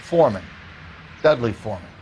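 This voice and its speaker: An actor playing a middle-aged American suburban neighbor in a game, guarded, terse and evasive. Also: male voice, mid-range voice, sing-song, fairly quick, a little mellow, slight background noise, natural voice.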